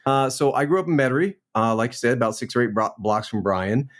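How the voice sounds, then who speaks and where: A man in his forties from New Orleans, a guest actor telling his career story in a relaxed, chatty way on a remote call. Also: medium pitch, fast talker. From New Orleans, Louisiana. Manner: relaxed, storytelling, frequent "man" and "you know". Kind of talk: casual interview chat.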